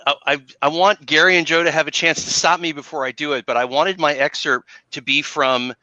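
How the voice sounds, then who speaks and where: Very bright, forceful-voiced man chatting. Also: on the high side, steady tone, brisk, dry recording, highs cut off. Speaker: panelist. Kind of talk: casual panel chat. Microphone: video call.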